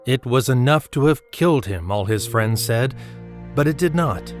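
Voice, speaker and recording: A man reads as the narrator of a literary audio drama. His medium-pitched voice is measured and storylike, with music playing beneath it. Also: lively intonation.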